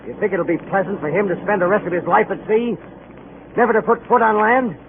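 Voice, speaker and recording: An actor playing a blunt, insistent ship's mate in an old radio drama, persuasive and insinuating. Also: male voice, high voice, quick pace, gravelly. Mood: urgent. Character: scheming, insistent ship's mate urging his captain. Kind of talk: 1940s radio acting. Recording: tinny, noisy old radio broadcast.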